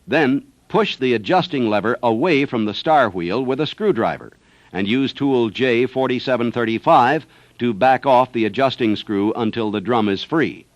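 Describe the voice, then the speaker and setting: A man's voice: mid-range voice, animated, normal speed, dry recording. An American man reads a scripted instructional narration for a service training film in a methodical manner. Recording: dull, dry 1970s training film soundtrack.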